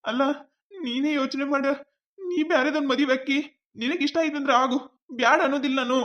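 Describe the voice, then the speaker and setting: A woman's voice: high-pitched, fast, fairly bright tone, muffled recording. A woman with a North Karnataka Kannada accent acting as a young man reassuring his girlfriend. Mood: insistent. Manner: coaxing, reassuring. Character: young man boyfriend, devoted and insistent.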